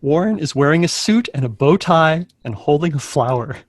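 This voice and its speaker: A man's voice: fairly high pitch, animated, normal speed, no echo. Man narrating a script, dry sound. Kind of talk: scripted stage-direction narration.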